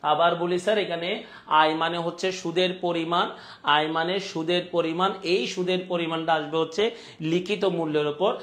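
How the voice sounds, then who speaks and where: Bangladeshi man teaching, in an even, highish voice. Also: fairly quick. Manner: repetitive, checking often that students follow. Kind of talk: live online class lecture.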